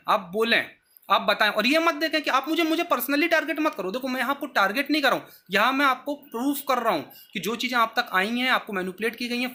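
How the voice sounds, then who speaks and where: Someone talking quick n sharp, insistent, explaining their side to viewers. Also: medium pitch. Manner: insistent, self-justifying. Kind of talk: earnest explanatory address to viewers.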